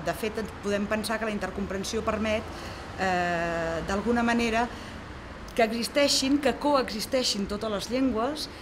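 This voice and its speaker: A woman's voice: medium pitch, background noise. A woman with a Catalan accent giving a reflective spoken answer as a language specialist. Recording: music playing under the voice.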